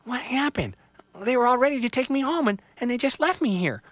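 Storybook sapling girl, high and fretful, wide swoops, man reading. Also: very animated, fairly quick, a bit bright, no echo, full character voice. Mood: bewildered. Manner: anxious, hopeful, wondering aloud. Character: timid young female sapling tree.

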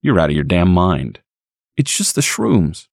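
A man voicing a surly, dismissive lout who talks in a rough, bossy way. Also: low voice, very animated, unhurried, no room sound, studio quality, exaggerated voice. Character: surly, hungover lout, the boyfriend.

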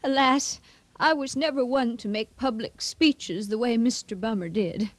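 A woman's voice: fairly high pitch, dry recording. A woman in a radio play acting a sweet, humble little old lady, genteel and modest. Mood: humble, wistful. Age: older. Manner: genteel, modest, halting speechmaking.

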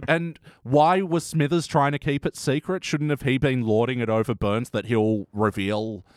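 A man's voice: mid-range voice, steady tone, normal speed, no echo, clean studio sound. A man hosting a podcast, chatting casually and offering his opinions in a conversational way. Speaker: podcast host. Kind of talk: casual episode review chat.

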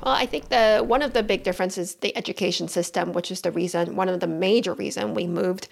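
Woman in her 30s from taiwan, guest doctor, lots of vocal fry, talks steady and matter-of-fact. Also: on the low side, quick pace. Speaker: guest physician. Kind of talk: interview conversation.

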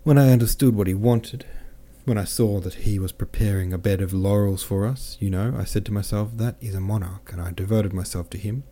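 A man's voice: on the low side, brisk, hardly any pauses, dark tone, close to an everyday voice. A man voicing a jovial, boastful French army captain who chatters in exclamations.

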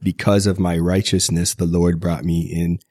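A very deep-voiced man reads scripture aloud for his podcast, his delivery flat and even. He is still getting over a cold, and he reads steadily, correcting his slips as he goes. The recording is dry and close, with no room sound. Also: normal speed, dry recording. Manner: steady reading with self-corrections. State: recovering from a cold. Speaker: podcast host reading scripture. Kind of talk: scripture reading.

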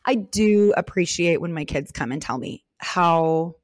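A woman's voice: on the low side, unhurried, no room sound. A middle-aged woman co-hosting a podcast, talking candidly and casually, acting out bits of remembered conversation as she goes. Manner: candid, animated, quotes imagined dialogue. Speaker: podcast co-host.

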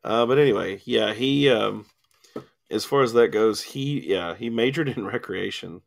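Male sports radio host, gravelly, loose and rambling delivery, studio mic, voice wearing thin. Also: fairly low pitch, moderate pace. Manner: rambling, lots of ums and filler. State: losing his voice, coughing. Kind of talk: casual sports radio chat. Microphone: studio mic with cough button.

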